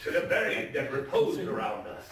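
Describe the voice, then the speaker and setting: A man's voice: lowish voice, very animated, moderate pace, a bit bright, pitched below the performer's own voice. A man voicing a drunken, boastful, scornful nobleman with a cough, in a theatrical gothic story reading. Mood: tipsy, familiar. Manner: exclamatory, swaggering. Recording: roomy distant stage recording, faint noise.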